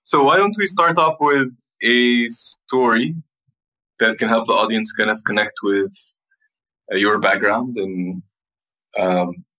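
A man's voice: on the low side, slow, sharp tone, poor audio. A man hosting a podcast, talking earnestly and reflectively with an old friend and laughing now and then. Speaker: podcast host. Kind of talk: casual interview chat.

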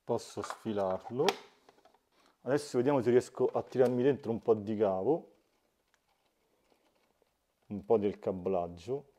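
A man's voice: lowish voice, measured pace, pauses often, mellow. A man, giving a hands-on DIY tutorial as the host, recorded indoors in a small room. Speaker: host of a DIY smart-home channel. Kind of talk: hands-on tutorial narration to camera.

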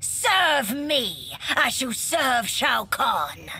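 An actress playing a savage, imperious clone princess in a fighting game, haughty and commanding. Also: female voice, a little high, sing-song, drawn-out words, bright, forceful tone, a little hiss or hum, heavily acted. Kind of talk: video game fight banter acting.

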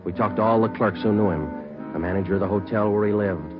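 An actor playing a terse, matter-of-fact police detective who also narrates, in 1940s radio acting style. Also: male voice, fairly low pitch, quick pace, close to an everyday voice. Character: terse male police detective, clipped and businesslike. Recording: muffled old radio broadcast with music.

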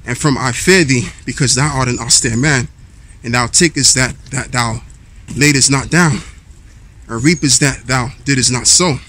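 A man's voice: mid-range voice, a little slow, a bit bright. A man in his thirties preaching casually to his camera while walking through a wooded park, reading scripture aloud between loose remarks with fillers. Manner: conversational preaching with fillers, reads verses aloud. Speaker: street preacher vlogger. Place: outdoors in a wooded park path. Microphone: handheld camera at arm's length while walking.

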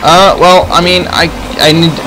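Higher-pitched man roleplaying in a game. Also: little pitch movement, moderate pace, pushed and bright, faint music behind, low-quality recording. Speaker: player in a Minecraft roleplay series. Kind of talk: casual improvised game roleplay.

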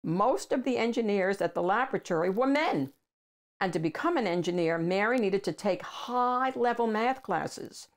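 An older woman with a medium-pitched voice narrates a children's picture book. She phrases things deliberately and leans on key words for emphasis. The delivery is that of a read-aloud host addressing young listeners. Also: lively intonation. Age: older, 60s or above. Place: small room at home with bookshelves.

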